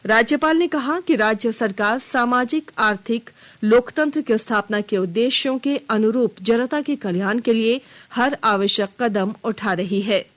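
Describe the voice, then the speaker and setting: A woman news anchor reading a scripted regional bulletin in a formal, measured manner. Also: a little high.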